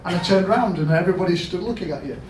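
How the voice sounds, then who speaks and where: A man with a Yorkshire accent giving a storytelling conference talk as a health service chief executive, asking the audience questions and rising to loud emphatic bursts. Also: high-pitched, rapid-fire. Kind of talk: storytelling keynote speech. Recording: echoey hall, mic at a distance, background noise.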